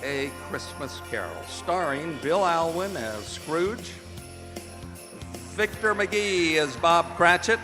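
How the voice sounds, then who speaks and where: A man's voice: on the high side, lively intonation, takes it slowly. A man with an American accent announcing in the style of an old-time radio show, reading out credits and sponsor messages. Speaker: announcer and director of a radio theater. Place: performing arts center stage. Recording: echoey hall, long reverb, music bleeding in. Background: music.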